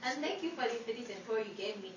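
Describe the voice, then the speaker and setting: A woman's voice: a little high, expressive, quick pace, recorded from a distance, muffled recording, natural voice. A woman playing an earnest, polite female visitor in an old-time radio style play. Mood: polite, grateful. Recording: very noisy, echoey room, off-mic.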